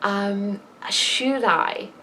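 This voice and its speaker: A woman's voice: medium pitch, drawn-out words, pushed and bright. A woman vlogger talks in a mid-range voice with some ambient noise around her. She is casual and candid, poking fun at herself as she rambles and drops in emphatic asides. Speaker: vlogger and fiction writer. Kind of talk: casual personal vlog chat.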